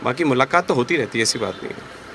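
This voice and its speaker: A man's voice: mid-range voice, animated, quick pace, fairly bright tone. A man answering a casual street interview in a market, polite and noncommittal, mixing English words into his speech. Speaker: local market voter being interviewed. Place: outdoors in a city market. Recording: faint outdoor traffic noise.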